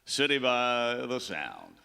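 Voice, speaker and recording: An actor playing a grandiose aging sports broadcaster who talks in theatrical play-by-play patter in a live radio comedy. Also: male voice, medium pitch, wildly swooping pitch, slow, creaky, sharp tone, clean tone, exaggerated voice. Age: older. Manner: pompous announcer patter, theatrical. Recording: live stage in a reverberant hall.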